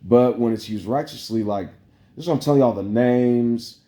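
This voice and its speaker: A man's voice: medium pitch, normal speed, mellow. A man delivering a meandering, preachy spiritual monologue to camera, full of "like" fillers. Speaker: vlogger. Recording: roomy echo.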